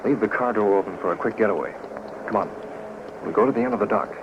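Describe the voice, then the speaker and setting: An actor playing a decisive masked crime fighter with an American accent, giving clipped orders in a 1940s radio drama. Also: male voice, on the low side, quick pace, natural voice. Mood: tense, watchful. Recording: noisy, muffled 1940s radio recording.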